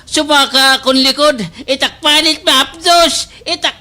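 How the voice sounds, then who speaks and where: A man's voice: very high voice, fairly even intonation, a little slow, sharp tone, exaggerated voice. A man playing a hapless village father in a slapstick radio comedy, stammering and exclaiming with a Waray accent. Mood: flustered, pained. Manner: stammering, exclaiming, prone to laughing. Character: loud, hapless village father, comic complainer. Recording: faint background noise.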